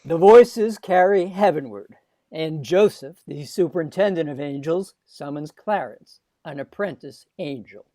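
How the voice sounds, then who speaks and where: Man playing a high-voiced showy radio host. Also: lively intonation, measured pace, mellow, no echo. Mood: storytelling. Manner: showy, formal announcer delivery. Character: genial male radio playhouse host introducing stories. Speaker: radio show announcer. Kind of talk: 1940s radio announcing. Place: video call in a dry room.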